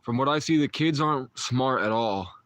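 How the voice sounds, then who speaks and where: A man's voice: mid-range voice, moderate pace, fairly bright tone, dry recording. A man in his twenties, a livestream host, chatting and rambling with viewers in a meandering, mystical way, full of restarts and short chuckles. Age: 20s.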